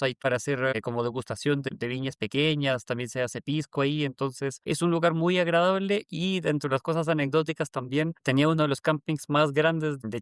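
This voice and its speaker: A young man from Colombia and Chile, chatting easily as an interview guest. His voice sits fairly high and sounds crisp and resonant, with lots of fillers and restarts. The recording is dry and close, with no room sound. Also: dry recording. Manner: relaxed storyteller, frequent fillers and self-corrections. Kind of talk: casual interview conversation.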